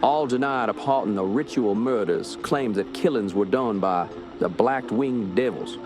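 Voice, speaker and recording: An actor playing a courteous, skeptical police inspector with a US Southern accent, speaking in a folksy, measured way in a radio drama. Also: male voice, lowish voice, music in the background, close to an everyday voice. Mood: courteous, grave.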